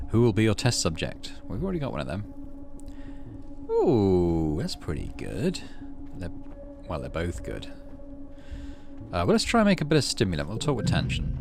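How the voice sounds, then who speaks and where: Male gaming host, lowish pitch, varied intonation, low-key casual narration, clear game music underneath. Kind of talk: casual game commentary and narration reading aloud. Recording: music behind the voice.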